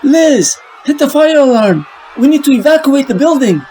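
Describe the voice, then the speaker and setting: A woman's voice: high voice, lively intonation, moderate pace, mellow. A woman playing a sardonic, capable young woman, noisy backdrop. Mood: urgent. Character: level-headed, sardonic young woman, urgent in a crisis. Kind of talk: motion-comic character acting. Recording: noisy ambient sound behind.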